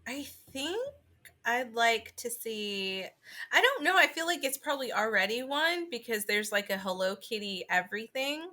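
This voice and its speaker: A woman's voice: on the high side, expressive, normal speed, sharp tone. A woman co-hosting a podcast in casual chat, thinking aloud informally. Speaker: podcast co-host.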